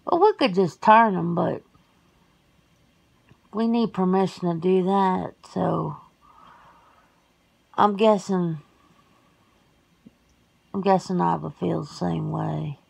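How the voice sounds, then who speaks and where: A woman's voice: on the low side, takes it slowly, creaky, long pauses, darkish tone, slightly rough, exaggerated voice. A woman playing a folksy, plain-spoken werewolf sister in an ASMR roleplay, talking casually and colloquially. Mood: agreeable.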